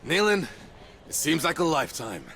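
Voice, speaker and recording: An actor playing a weary, battered former prisoner in a video game, speaking gravely and in a measured way. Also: male voice, on the high side, very animated, pushed and bright, a little hiss or hum, exaggerated voice.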